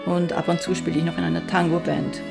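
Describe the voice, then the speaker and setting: Middle-aged woman from Austria, violinist, gravelly lower voice, reflective interview tone, faint violin music behind. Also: flat delivery, fast. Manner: reflective, enthusiastic about her work. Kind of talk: documentary interview.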